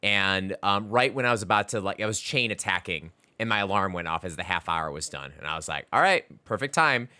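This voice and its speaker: A man's voice: low voice, lively intonation, fairly quick, hardly any pauses, pushed and bright, clean tone, no room sound. A man co-hosting a podcast, talking casually about video games in an informal, emphatic way with lots of "like". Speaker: podcast co-host.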